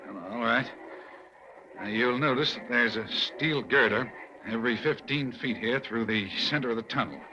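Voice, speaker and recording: An actor playing a nervous male crook who explains a hidden tunnel wall in halting, hesitant speech, in an old radio drama. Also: medium pitch, crowd noise, natural voice. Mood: reluctant. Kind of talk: 1940s radio acting. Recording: dull lo-fi old radio recording, faint hiss.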